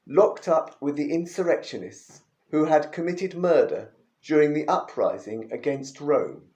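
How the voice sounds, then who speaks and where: Slow, expressive male Bible reader. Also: fairly high pitch. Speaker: scripture reader. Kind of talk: Bible reading. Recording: roomy echo.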